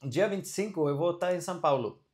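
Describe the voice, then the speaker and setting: Relaxed man teaching an online class. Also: on the high side, quick pace. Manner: relaxed, keeps checking in with "ok?". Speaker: teacher of Vedic studies and Ayurveda.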